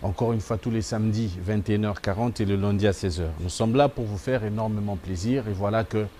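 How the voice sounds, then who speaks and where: Low, mellow-voiced male TV host. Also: a little fast, slight background noise. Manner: emphatic, repeating slogans. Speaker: TV magazine host. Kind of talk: presenter address to viewers.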